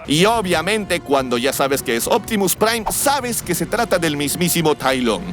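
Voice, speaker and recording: A man's voice: a little high, sing-song, moderate pace. Playful male video host, music behind. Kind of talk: scripted vlog narration with jokes. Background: background music.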